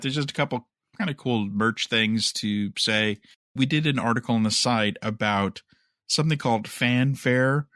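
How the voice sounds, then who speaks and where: A man's voice: mid-range voice, pushed and bright, no echo, clean studio sound. A man co-hosting a podcast, casually talking through news with a wry, skeptical manner. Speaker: podcast co-host.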